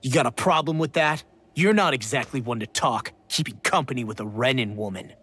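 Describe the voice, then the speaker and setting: An actor playing a bitter, hot-headed teenage boy with a husky voice and a defiant manner in a fantasy anime game. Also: mid-range voice, fairly quick, sharp tone. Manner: defiant, surly. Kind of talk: English-dubbed anime game voice acting.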